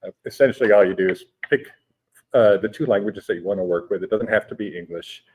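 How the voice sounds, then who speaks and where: A man in his forties whose first language is Spanish, explaining things in a relaxed interview with many hesitations and restarts. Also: lowish voice, fast, narrow, tinny audio, slight background noise. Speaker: guest, education technology company director. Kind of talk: conversational interview. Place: conference venue.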